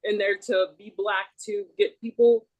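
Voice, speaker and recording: Female talker, mid-pitched, loose conversational delivery, blunt and informal. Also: flat delivery, a little slow, a little mellow, poor audio. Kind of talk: casual conversational chat.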